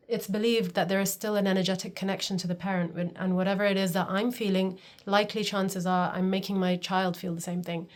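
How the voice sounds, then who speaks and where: A woman in her thirties, from Pakistan, talking earnestly and confidingly to camera as a homeschooling coach, often stressing her points with "literally". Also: medium pitch. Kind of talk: personal talking-to-camera vlog.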